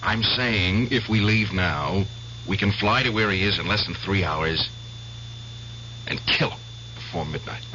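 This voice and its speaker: An actor playing an obsessed, vengeful middle-aged war veteran in an old-time radio drama, clipped, sardonic and deliberate. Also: male voice, low voice, background noise. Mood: intense, resolved. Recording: dull lo-fi radio drama recording.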